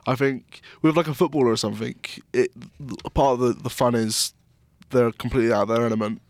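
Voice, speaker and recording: A man's voice: medium pitch, steady tone, fast talker, a little mellow, dry recording. A young man from Wales with a mixed South Welsh accent, co-hosting a casual podcast chat, rambling with lots of "like" and restarts. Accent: South Welsh English. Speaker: podcast co-host.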